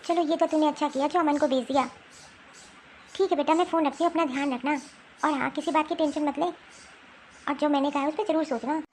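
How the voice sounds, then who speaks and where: A concerned mother played by a woman in a homemade cartoon melodrama, with a very high voice. She persuades gently and sounds resigned, over some background noise. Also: steady tone, brisk, long pauses, close to an everyday voice. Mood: resigned, advising. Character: concerned, resigned mother advising her married daughter. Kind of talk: amateur Hindi cartoon family melodrama.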